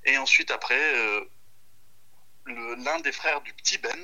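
Very bright-voiced man, hesitant and informal. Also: mid-range voice, unhurried, low-quality recording. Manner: hesitant, informal, thinking aloud. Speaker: chef, podcast guest. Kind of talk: casual chat over the phone. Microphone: phone call.

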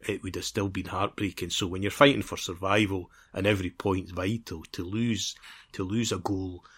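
Low-voiced man chatting about football. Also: fairly even intonation, moderate pace, fairly bright tone, breathy edge. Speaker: football podcast co-host. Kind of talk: casual football chat.

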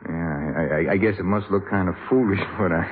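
An actor playing an earnest, shy young American jazz trumpeter with a halting delivery in a 1950s radio drama. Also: male voice, sits low, steady tone, husky. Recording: muffled, hissy 1950s radio recording.

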